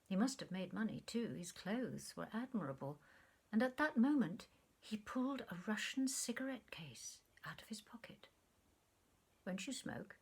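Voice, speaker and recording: A woman actor reading a short story as literary narration, in an expressive, measured storytelling manner. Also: medium pitch, breathy edge.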